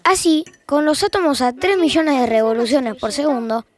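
A woman's voice: sits high, lively intonation, normal speed. A woman with an Argentine accent reading scripted, wry narration for an audio fiction.

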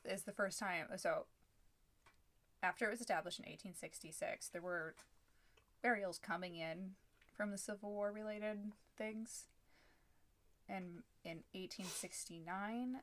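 A woman's voice: medium pitch, a bit bright. A young adult woman co-hosting a casual podcast, recounting history from her notes with small restarts and asides. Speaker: podcast co-host. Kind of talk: casual chat, then telling a researched history.

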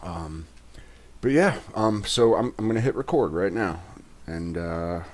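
Man, very low voice, animated pitch, laid-back rambling podcast host, faint background hiss. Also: measured pace. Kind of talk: casual podcast chat.

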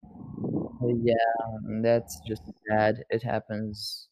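A man's voice: fairly low pitch, completely flat delivery, drawn-out words, darkish tone, rough recording. A young man hosting a podcast, chatting loosely with upcoming rappers and asking them questions. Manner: rambling, loose, swears casually. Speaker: podcast host. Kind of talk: casual interview chat.

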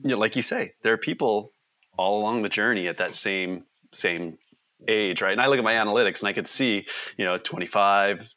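A man in his forties co-hosts a casual podcast chat. His voice sits a little low but sounds bright and clear. He is easygoing and pokes fun at himself. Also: a little fast. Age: 40s. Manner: self-deprecating, easygoing. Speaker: podcast co-host.

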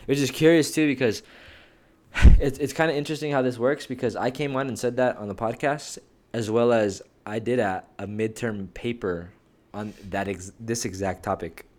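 A man's voice: medium pitch, normal speed, a little mellow. A young man co-hosting a podcast, chatting casually and rambling with lots of slang like "bro" and "dog". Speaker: podcast co-host. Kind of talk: casual chat.

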